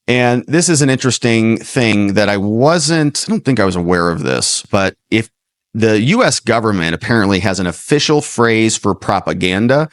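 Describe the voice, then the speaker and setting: An American man with a fairly low voice delivers opinionated livestream news commentary. He leans on rhetorical questions, and the sound is dry and close to the microphone. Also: no room sound. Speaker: political commentator and show host. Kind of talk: livestream news commentary over played clips.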